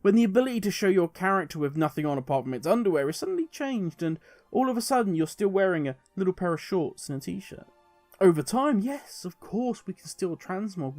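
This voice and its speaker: A British man giving conversational opinion commentary to viewers as a gaming host, explaining in a measured way with deliberate pauses. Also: fairly high pitch, animated, darkish tone, faint music behind. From United Kingdom. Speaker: gaming video creator and host.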